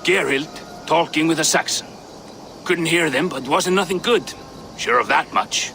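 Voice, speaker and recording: An actor playing a bitter old Viking warrior in a video game, speaking in blunt, clipped rustic phrases with a gravelly voice. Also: male voice, fairly high pitch, measured pace, sharp tone, slight background noise, exaggerated voice. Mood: grim, resentful. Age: older.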